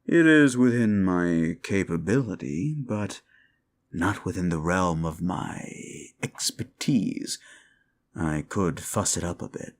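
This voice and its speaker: A man playing a cruel, sneering demon in an ASMR roleplay, sardonic and condescending, with a gravelly voice. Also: deep voice, animated, takes it slowly. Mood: smug, bargaining. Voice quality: gravelly, creaky. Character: cruel, sneering male demon bargaining for wishes. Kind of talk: scripted ASMR roleplay addressed to the listener.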